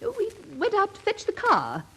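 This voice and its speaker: A woman's voice: extremely high pitch, huge pitch swings, moderate pace, a bit bright, exaggerated voice. An actress playing a fretful, genteel middle-aged mother in a 1950s radio comedy, anxious and hesitant in manner. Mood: worried. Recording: 1950s radio play recording.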